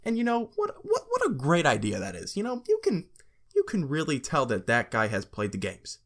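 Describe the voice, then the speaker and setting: A man's voice: fairly high pitch, wildly swooping pitch, moderate pace, a bit bright. A young man hosting a casual scripted gaming commentary, sarcastic and joking, with profane asides. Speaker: video essay host.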